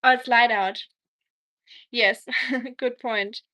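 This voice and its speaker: A woman's voice: on the high side, fairly even intonation, slow pace, sharp tone. A woman from Germany with a German accent, chatting casually while cooking on a livestream and answering viewer comments.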